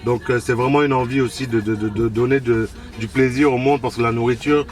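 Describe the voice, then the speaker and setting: A man's voice: medium pitch, fairly even intonation, fairly quick, distant mic. Hesitant man, music behind him. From Côte d'Ivoire. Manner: hesitant, repeats words while searching for them. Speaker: restaurant owner being interviewed. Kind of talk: casual interview.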